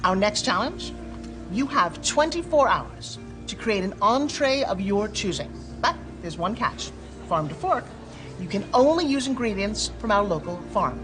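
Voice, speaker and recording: A poised food judge who announces the rules with a lively, swinging pitch. Music plays beneath her. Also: a little slow. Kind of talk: film dialogue. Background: background music.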